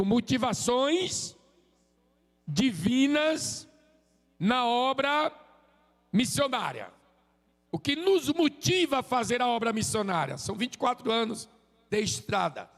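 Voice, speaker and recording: A man, preaching a relaxed, joking sermon and prompting the congregation to answer. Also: extremely high pitch, measured pace, in a large hall. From Sergipe, Brazil. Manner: joking, chatty, drops "amém?" tags. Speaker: visiting preacher. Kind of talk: informal sermon with crowd call-and-response. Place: church sanctuary.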